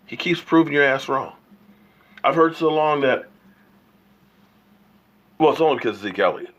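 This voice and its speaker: A man's voice: medium pitch, a bit bright, muffled recording. A man hosting a sports opinion livestream while he has a cold, arguing emphatically and firing off rhetorical questions. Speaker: sports show host.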